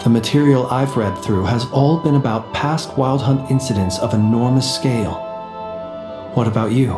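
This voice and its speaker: Gentleman soldier for a video game, male actor: measured, composed, formal, middle register, with reverberant sound. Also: medium pitch, music in the background, natural voice. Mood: thoughtful. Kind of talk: video game character dialogue.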